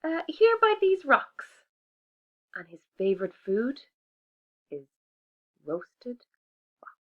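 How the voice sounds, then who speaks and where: A woman's voice: huge pitch swings, slow, full character voice. A woman doing a cheeky little storybook mouse. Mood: polite, cheeky. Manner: sweet, polite, high and bright. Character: small clever brown mouse, cheeky. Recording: dull lo-fi recording.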